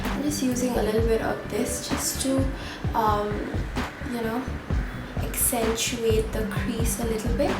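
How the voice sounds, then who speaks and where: A young woman, chatting through a makeup tutorial with plenty of ums and uhs, sounding stuffy from a cold. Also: on the high side. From India. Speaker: beauty vlogger. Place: home room at a mirror. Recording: echoey room, camera at a distance, loud music behind.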